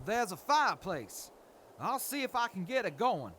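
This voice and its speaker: Man playing a lively, higher-voiced crewmate. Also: moderate pace, a little hiss or hum, exaggerated voice. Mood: cautious. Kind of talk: post-apocalyptic audio drama acting.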